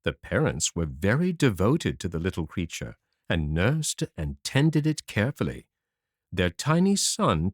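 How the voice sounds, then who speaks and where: Man reading fairy tales with very animated pitch. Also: dry recording, studio quality. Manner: animated storytelling. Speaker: audiobook narrator. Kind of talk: expressive children's fairy tale narration.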